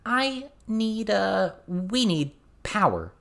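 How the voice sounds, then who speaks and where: A man's voice: sits high, big pitch swings, drawn-out words, creaky, a bit bright, voice pushed up, exaggerated voice. A man voicing a cautious, polite teenage girl in a fantasy audiobook. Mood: hesitant.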